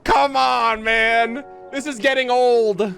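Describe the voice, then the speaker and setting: A woman's voice: on the high side, steady tone, takes it slowly, vocal fry, a bit bright, faint music behind. A woman streamer giving slangy, gushing, exclamatory reaction commentary on a video game.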